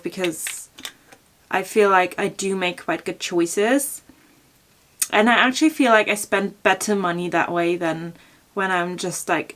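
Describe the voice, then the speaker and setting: A woman from Germany with a German accent answering viewer questions in a casual fashion vlog, chatty and full of ums and likes. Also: medium pitch. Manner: chatty, many ums and likes, laughs softly. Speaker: fashion YouTuber.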